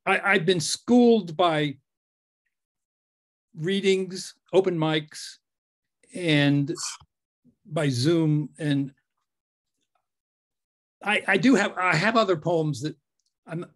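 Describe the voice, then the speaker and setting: A man, the featured poet, talking about his craft on a video call, halting and repeating his words. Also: a little high. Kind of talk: casual conversation on video call.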